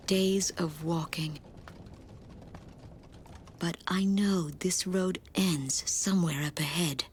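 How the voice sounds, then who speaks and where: A woman acting a sorrowful, determined mother in a war-torn land, narrating in a hushed, reflective way for a game. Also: fairly low pitch, a little hiss or hum. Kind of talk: scripted dramatic narration.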